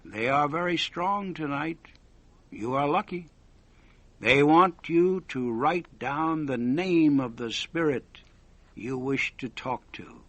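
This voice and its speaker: A man's voice: a little high, slow pace, fairly bright tone, no echo. A man voicing a cruel, mysterious woman who speaks to spirits, in terse commands, for a read-aloud story. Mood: curt, eerie. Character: ugly, cruel-eyed woman spirit medium, curt and mysterious.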